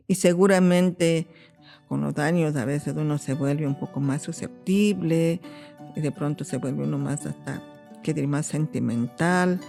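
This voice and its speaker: A middle-aged woman speaking thoughtfully in an interview, pausing to search for words. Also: low-pitched, vocal fry, warm tone. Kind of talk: casual interview talk.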